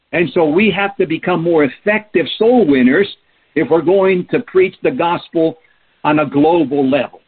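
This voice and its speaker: Middle-aged guy w/ preaching, kinda husky, sounds like a phone line. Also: fairly high pitch. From Peru. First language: Spanish. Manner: earnest, emphatic, asks rhetorical questions. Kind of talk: sermon.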